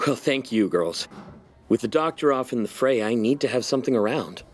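A man's voice: medium pitch, animated, fairly quick, soft background music, close to an everyday voice. A man playing a small-town tavern barkeep in a period audio drama. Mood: matter-of-fact.

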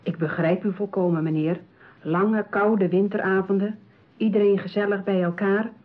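A woman's voice: medium pitch, steady tone, moderate pace, phone-quality sound, natural voice. An actress playing a polished, pushy saleswoman with assured sales patter in a radio drama. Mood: soothing, persuasive.

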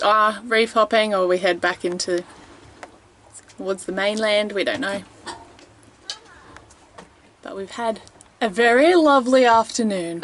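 Aussie woman in her 40s, relaxed, laughing vlog chatter on deck, wind noise faint behind. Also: a little high. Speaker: vlogger, sailing family mum. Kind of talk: casual travel vlog narration. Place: outdoors on a sailboat deck at sea. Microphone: handheld camera at arm's length.

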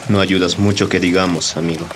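A man's voice: deep voice, little pitch movement, a little fast, noisy background, natural voice. A man playing a proud, defiant young lover in a historical audio drama, speaking earnestly and formally. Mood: resigned.

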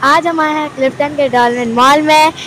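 A woman's voice: high-pitched, steady tone, moderate pace, fairly bright tone, slight background noise, poor audio. A young woman co-presenting a mall vlog, speaking simple mixed English phrases. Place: large indoor shopping mall.

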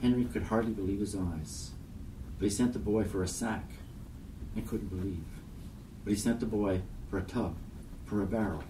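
Deep, dark-voiced man reading poetry. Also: moderate pace. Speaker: poetry reader. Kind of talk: literary poem reading. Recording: distant mic, faint background hiss.

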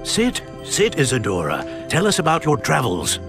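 An actor playing a dignified older wizard mentor in a video game, formal and deliberate in his speech. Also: on the high side, huge pitch swings, gravelly, music in the background, full character voice. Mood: genial, formal. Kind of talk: video game cutscene acting.

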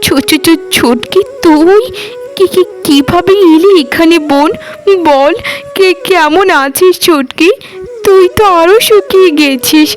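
A woman's voice: extremely high pitch, slow pace, faint music behind, poor audio. A woman voicing a loving, sorrowful young wife in a cartoon, with an emotional, plaintive delivery. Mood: astonished. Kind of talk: melodramatic cartoon dialogue acting.

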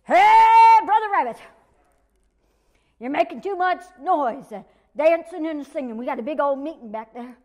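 A woman voicing a boastful male coyote trickster who scolds loudly. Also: animated, normal speed. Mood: scolding. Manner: loud, high and scolding.

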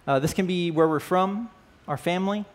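A man's voice: sits high, normal speed. Chatty man teaching a church class. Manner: conversational, asks the class questions, frequent "right?" and "uh". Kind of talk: church class lesson with opening prayer. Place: church stage with band gear, indoors.

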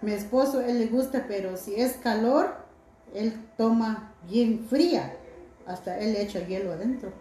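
A woman's voice: a little high, measured pace, dark tone, a little hiss or hum. Chatty woman vlogger in an echoey room. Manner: heartfelt, chatty, reads out viewer comments. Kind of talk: casual chat to viewers. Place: indoors at home, by a window.

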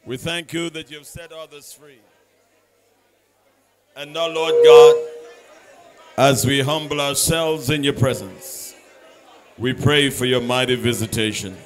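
A man's voice: medium pitch, a little slow, pauses often, some chatter behind. A man leading a church service, singing hymn lines and praying fervently with the congregation. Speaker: church leader leading worship. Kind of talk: sung worship and spoken prayer. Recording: live service with loud musical accompaniment. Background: instrumental music.

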